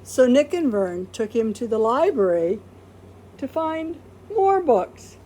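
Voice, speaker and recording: A woman's voice: a little high, big pitch swings, slow pace, dark tone. A woman reading a children's picture book aloud expressively, acting out quoted words and putting questions to listeners. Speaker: storybook reader.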